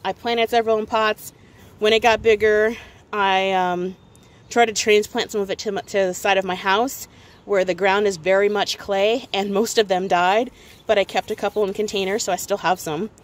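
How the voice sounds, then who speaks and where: A woman's voice: mid-range voice, fairly even intonation, normal speed. A woman talking casually and informally outdoors, explaining things as she points them out on an unscripted garden tour. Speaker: gardening vlogger giving a tour. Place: outdoors on a home deck.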